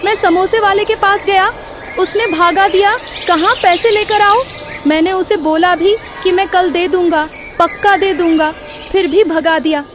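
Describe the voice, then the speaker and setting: A woman voicing an eager, hungry young village boy in a cartoon story, pleading and excited. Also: very high-pitched, unhurried, background noise.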